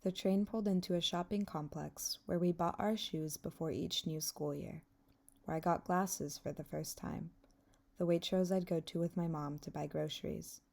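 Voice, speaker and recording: Young female vlog narrator, slightly low and creaky, reflective personal voice-over. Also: fairly even intonation, moderate pace, a little mellow. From England until age ten, then the US. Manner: reflective, wistful. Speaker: vlogger narrating her own video.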